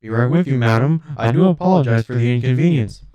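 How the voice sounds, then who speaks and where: A courteous old robot butler, acted out by a man for a story reading. The voice is mid-pitched and soft-edged, very clean and smooth, in a dry close recording. It speaks with formal, apologetic politeness, like a lonely museum guide eager to serve. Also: lively intonation, brisk, dry recording, highs cut off, close to an everyday voice. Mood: politely apologetic.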